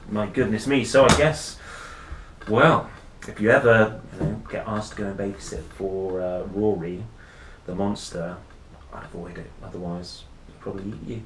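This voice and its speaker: Guy reading kids story out loud, really expressive, playful little asides, echoey room w faint noise. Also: lowish voice, moderate pace. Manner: playful, theatrical, with exclamations and musing hums. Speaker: children's story reader. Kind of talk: expressive picture-book read-aloud with casual asides. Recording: roomy echo, faint noise.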